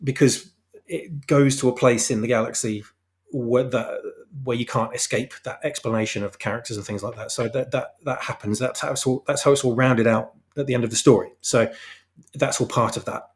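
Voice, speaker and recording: A man with a mid-pitched voice talks as a guest author in a casual interview. He explains haltingly, then leans on repeated phrases for emphasis. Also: steady tone. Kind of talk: casual explanatory chat about own story.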